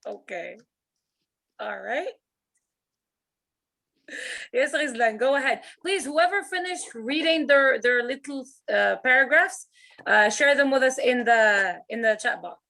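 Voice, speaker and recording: A woman's voice: high-pitched, expressive, measured pace, vocal fry, long pauses, bright, forceful tone. A woman leading an online writing class on a video call, encouraging her students, laughing often and repeating herself as she talks.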